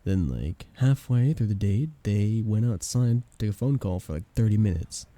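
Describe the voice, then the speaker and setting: A young man playing a playful, affectionate best friend in a casual ASMR roleplay, speaking in a soft, teasing, expressive way. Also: on the low side, normal speed, dry recording, lightly acted. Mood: tired, venting. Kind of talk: ASMR roleplay, casual intimate chat with listener.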